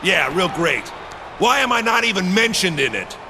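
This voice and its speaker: A man voicing a gruff, grumbling big tag-team wrestler in a video game, terse and grudging. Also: sits high, sing-song, moderate pace, bright, forceful tone, a little hiss or hum, heavily acted. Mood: irritated. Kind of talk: video game cut scene acting.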